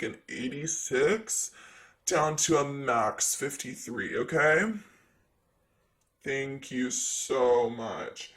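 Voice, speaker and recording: A jaded demon desk clerk of hell as a man acts him out in an ASMR roleplay. His male voice sits a little high and moves at a leisurely pace. He rambles breezily, full of sarcasm and sass. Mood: sassy, sarcastic. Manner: sarcastic, breezy, rambling with casual filler words. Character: sassy demon secretary of hell, jaded, chatty.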